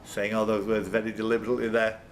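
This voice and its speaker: A man's voice: fairly low pitch, monotone, fast, a little hiss or hum. A man working as a quizmaster, reading questions live with informal teasing banter and stumbling self-corrections. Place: indoors at a pub quiz tournament.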